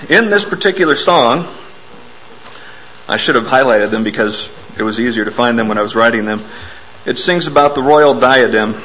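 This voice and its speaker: A man preaching an informal, self-deprecating sermon in a church, hoarse from a bug and often clearing his throat. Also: medium pitch, sharp tone, rough recording. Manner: folksy, self-deprecating, explains hymn words. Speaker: lay preacher.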